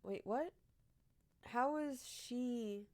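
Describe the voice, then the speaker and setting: Young woman streamer, kinda deep voice, swears and laughs, chill wry commentary on weird news. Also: very slow. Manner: blunt, swears, laughs often, comments wryly on headlines. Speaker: streamer reading odd news. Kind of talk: casual live-stream chat.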